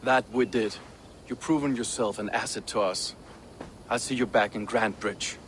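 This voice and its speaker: An actor playing a proud, ambitious but loyal Viking shipbuilder, speaking in a measured, earnest way for a video game. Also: male voice, fairly low pitch, steady tone, background noise, natural voice. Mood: approving. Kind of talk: dramatic video game cutscene dialogue.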